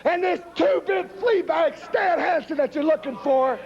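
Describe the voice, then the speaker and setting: Shrill, shouting wrestler. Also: male voice, moderate pace, gravelly. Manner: loud, taunting, boastful, repetitive. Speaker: wrestler cutting a promo. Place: TV wrestling studio with live crowd. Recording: dull TV audio, crowd noise.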